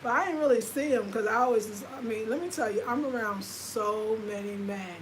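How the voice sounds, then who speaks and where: A woman in her fifties with an American accent talks to her viewers in a loose, confiding way, full of "like" and "y'all." Her voice sits fairly high for a woman. A faint noise runs under the recording. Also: fairly quick, poor audio. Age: 50s. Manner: chatty, confiding, lots of fillers. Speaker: lifestyle vlogger. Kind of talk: casual livestream chat.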